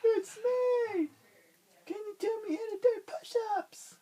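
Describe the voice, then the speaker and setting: Adult doing a high squeaky starfish, eager boy, pleading, storybook voice. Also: slow pace, mellow, recorded from a distance. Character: small eager male starfish.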